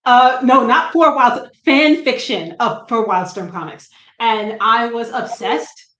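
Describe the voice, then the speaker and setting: Middle-aged woman, light Brooklyn accent, chatty guest on a video call, higher voice, roomy echo. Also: slow, fairly bright tone. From New Jersey. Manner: chatty, self-deprecating, laughs often. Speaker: guest comic writer. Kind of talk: casual interview chat. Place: room at home.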